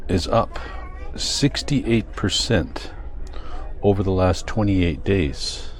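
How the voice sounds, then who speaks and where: An older man with a Canadian accent, chatting casually in a rambling vlog, thinking aloud in a halting, self-correcting way. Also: low-pitched. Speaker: vlogger.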